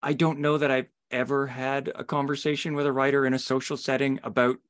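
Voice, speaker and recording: A man's voice: mid-range voice, flat delivery, a little fast. A man hosting a podcast in a casual, conversational way. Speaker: podcast host.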